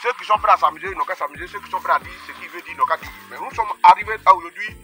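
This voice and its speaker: A man with an Ivorian accent speaking outdoors at a village grave, addressing his ancestor in emphatic, chanting, repetitive calls, with a husky, strained voice. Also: high-pitched, very fast, music in the background. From Ivory Coast. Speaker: self-described traditional practitioner.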